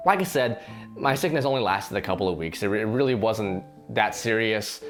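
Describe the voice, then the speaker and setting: Earnest, slightly hoarse man over soft guitar. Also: mid-range voice. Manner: earnest, rambling with restarts and fillers. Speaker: fitness channel host. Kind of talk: casual talking-to-camera reflection. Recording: faint guitar music underneath.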